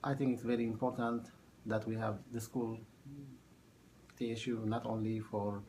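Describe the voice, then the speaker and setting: A man in his thirties from Ethiopia, a math teacher answering oral history questions in a halting way with many fillers. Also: fairly low pitch, little pitch movement, mellow, slight background noise, rough recording. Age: 30s.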